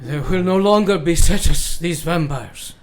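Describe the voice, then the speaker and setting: An actor playing a grave older professor and vampire hunter in a radio play, with formal, old-fashioned speech. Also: male voice, on the high side, a little slow, over music, close to an everyday voice. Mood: grave, resolute. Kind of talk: radio drama acting.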